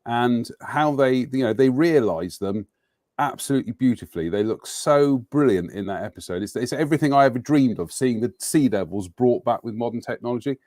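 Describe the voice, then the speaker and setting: A man's voice: mid-range voice, brisk, a little mellow, no echo, clean studio sound. A man hosting a live chat show over a video call, talking in a chatty, wry and opinionated way. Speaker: host. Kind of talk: casual live-stream chat.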